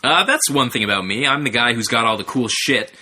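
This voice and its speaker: A man's voice: mid-range voice, lively intonation, brisk, sharp tone. A man chatting in a mock-serious, hesitant way on a casual comedy podcast, recorded in a bedroom. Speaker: podcast host and engineer. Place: bedroom, under a bunk bed. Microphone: shared podcast microphones.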